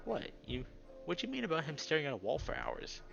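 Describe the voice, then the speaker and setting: A man's voice: on the high side, sing-song, fast, gravelly, lo-fi sound, over music, pitched above the performer's own voice, exaggerated voice. A man voicing a grumpy, exasperated male housemate in a visual novel, snappish and sarcastic. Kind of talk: visual novel character dialogue.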